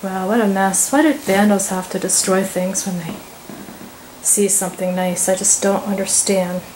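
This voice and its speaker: Female urban explorer, mid-pitched, casual running observations, handheld camera sound with clear background noise. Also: steady tone, moderate pace, a little mellow, low-quality recording. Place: inside rooms of an empty, abandoned house. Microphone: handheld camera microphone held by the speaker. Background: ambient noise.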